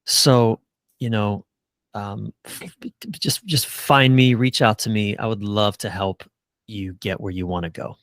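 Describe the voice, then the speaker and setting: A man's voice: fairly low pitch, fairly even intonation, unhurried, no echo. A middle-aged man giving earnest, casual answers as a relationship coach in a conversational interview. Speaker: relationship coach, podcast guest.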